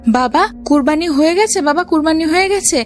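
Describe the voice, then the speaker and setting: A young cartoon boy, voiced by a woman, high-pitched and earnest. He is curious and headstrong, with faint music behind him. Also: a little fast, a little mellow, natural voice. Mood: excited recounting. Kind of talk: children's cartoon voice acting.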